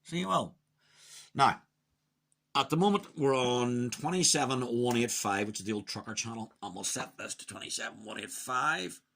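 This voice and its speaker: A man giving a casual, chatty, opinionated hands-on radio hobby review, muttering to himself at times, in a husky voice. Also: mid-range voice, moderate pace, fairly bright tone. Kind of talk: casual hands-on product demonstration.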